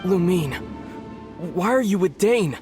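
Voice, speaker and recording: A man playing a stern young prince of a dark order in a video game, speaking earnestly and deliberately. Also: high voice, animated. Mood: grave, warning. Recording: faint music behind the voice.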